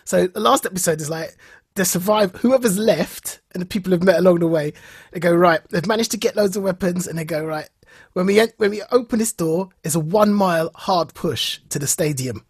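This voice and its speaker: A man talks as a podcast co-host in a dry, close sound. His voice has a husky grain. He reviews casually, like a storyteller among friends, repeating phrases to drive them home. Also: high voice, brisk, no room sound. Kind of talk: casual chat recounting and reviewing a show.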